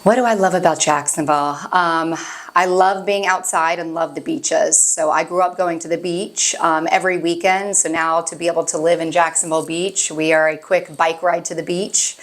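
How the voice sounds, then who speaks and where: A woman in her forties from Florida, with a voice pitched slightly low for a woman. She answers questions in a relaxed, upbeat way and is quick with a joke. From Florida, USA. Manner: upbeat, quick-witted, laughs easily. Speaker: interview guest, occupational therapist. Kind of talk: casual interview chat.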